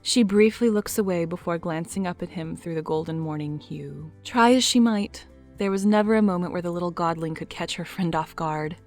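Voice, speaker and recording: A woman narrating an audio drama in a soft, storybook manner. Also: on the low side, normal speed, soft background music.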